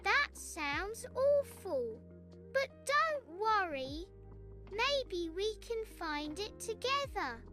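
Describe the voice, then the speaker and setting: A woman voicing a kind, brave little princess in a children's bedtime story, speaking gently and reassuringly. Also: very high voice, sing-song, very slow, music in the background, pitched above the performer's own voice, full character voice. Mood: gentle concern.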